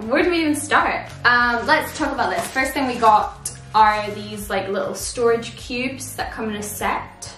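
A woman's voice: on the high side, moderate pace, hardly any pauses, fairly bright tone, echoey room, soft background music, rough recording. A woman in her twenties chatting in a bubbly, giggly way as she shows off her shopping at home. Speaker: vlogger. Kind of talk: casual haul chat. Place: living room at home.

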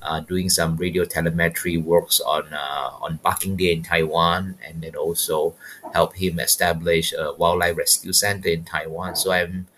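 A Malaysian man in his fifties with a very deep voice, answering questions as a podcast guest. He speaks haltingly, circling back over words as he thinks. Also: little pitch movement, a bit bright, slight background noise. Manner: halting, repeats words while searching. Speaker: guest, wildlife biologist. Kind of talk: podcast interview, personal story.

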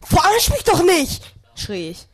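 A man's voice: extremely high pitch, huge pitch swings, unhurried, soft background music. A young man narrating an amateur parody audio drama in a dramatic storytelling manner.

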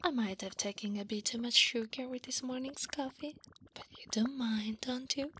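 A woman voicing an affectionate, teasing, clingy slime wife in a soft, half-whispered ASMR roleplay. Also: on the high side, fairly even intonation, normal speed, slight background noise, voice pushed up, close to an everyday voice. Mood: clingy, playful. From Italy. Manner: soft, breathy half-whisper, intimate, coaxing.

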